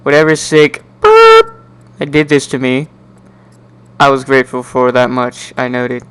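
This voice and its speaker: A man giving an amateur dramatic reading of a first-person story in an animated, wry, sardonic way. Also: mid-range voice, measured pace, clear, resonant tone, low-quality recording. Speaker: amateur narrator.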